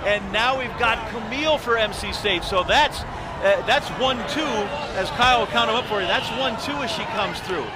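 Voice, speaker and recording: A man calling a race live as a commentator, giving a rapid, running play-by-play. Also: high-pitched, heavy background noise. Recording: broadcast clip over loud crowd.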